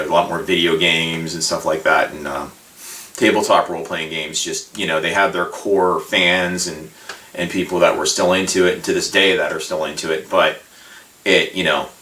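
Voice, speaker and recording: A middle-aged man hosts a casual solo talk in a low, bright-edged voice. He reminisces haltingly, wandering off and correcting himself as he goes. He sounds set back from the microphone. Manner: halting, digressive, self-correcting. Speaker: host. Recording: roomy echo, distant microphone.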